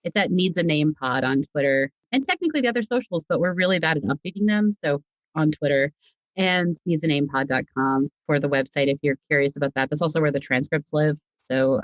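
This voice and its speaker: Woman, low-pitched voice, dry close recording, casual podcast guest, self-deprecating, wordy. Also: brisk, no room sound, dull audio. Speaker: podcast guest, audio fiction creator. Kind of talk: casual, chatty podcast conversation.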